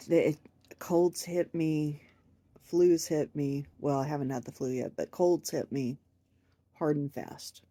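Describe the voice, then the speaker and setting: A low, slow-talking woman, her voice scratchy and congested from a cold. She rambles through a casual home vlog, laughing at herself often. Her tone is dark and mellow. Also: steady tone, no room sound. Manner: rambling, self-deprecating with frequent laughs. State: hoarse, stuffed up from a cold. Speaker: crochet vlogger. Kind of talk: casual chat to camera.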